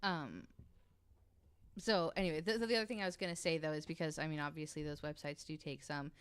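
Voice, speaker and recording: A woman with a notably low, smooth voice in casual podcast discussion. She talks informally and hesitantly, with loose swearing, and the sound is very dry. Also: fairly even intonation, a little fast, no room sound. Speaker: podcast panelist.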